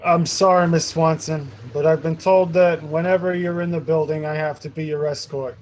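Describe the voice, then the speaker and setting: A man's voice: on the high side, fairly even intonation, normal speed, a little hiss or hum, lightly acted, poor audio. A man playing a dutiful, put-upon security guard at a drama table read. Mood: wary, exasperated.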